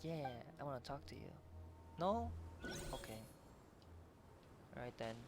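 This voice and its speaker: A man's voice: mid-range voice, very animated, unhurried. A man streaming a game, chatting and reading the player's lines aloud with side remarks. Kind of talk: casual game commentary. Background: game music.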